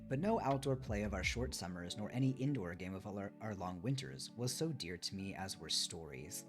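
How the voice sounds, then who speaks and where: Male streamer, lower voice, measured book narration with clear guitar backing. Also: animated. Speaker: streamer reading a book aloud. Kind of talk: live read-aloud of a memoir, with casual asides. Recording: music behind the voice. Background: guitar music.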